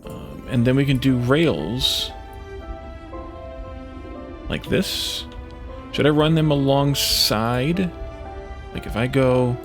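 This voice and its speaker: A man hosts a casual let's play with a noticeably gravelly, fry-laden voice. He thinks aloud as he goes, halting and hesitant between decisions. Music plays clearly behind his commentary. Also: mid-range voice. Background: music in the background.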